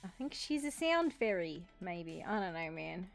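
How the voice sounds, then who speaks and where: A woman's voice: on the low side, sing-song, moderate pace, vocal fry, soft background music. A woman playing a tabletop role-playing game, chatting casually in character, playful and swearing freely.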